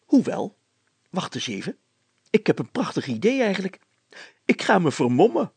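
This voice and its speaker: A man voicing an excitable, stubborn, self-satisfied small-town mayor with a blustery manner in a story. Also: sits high, normal speed, creaky, slightly rough, no room sound, voice pushed up, full character voice. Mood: excited, scheming.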